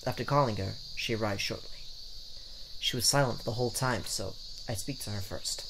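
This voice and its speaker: A man's voice: lowish voice, moderate pace, creaky, noisy background. A man hosting a let's play, reading game text aloud in a theatrical way with casual reactions. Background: game music.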